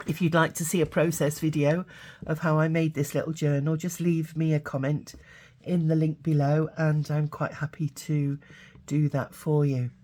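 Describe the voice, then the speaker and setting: A woman with a cold and a hoarse voice, chatting casually through a craft project with frequent ums and uhs. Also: low voice, mellow. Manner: chatty, halting, many fillers. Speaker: craft channel host.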